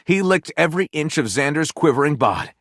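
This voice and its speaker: Game character: flamboyant literary storyteller, higher-pitched, hugely animated, melodramatic delivery. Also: male voice, no room sound, clean studio sound. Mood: melodramatic narrating. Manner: theatrical, dramatic swoops and pauses, gushing then snide. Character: flamboyant, theatrical male book lover narrating his absurd pulp tales. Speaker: voice actor.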